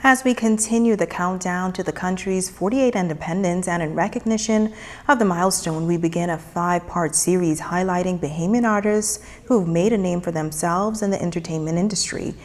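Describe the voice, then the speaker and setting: A woman with a fairly low voice reads the news in a smooth, even way. Her delivery is scripted and measured, introducing each report. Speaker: news anchor. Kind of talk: news reading.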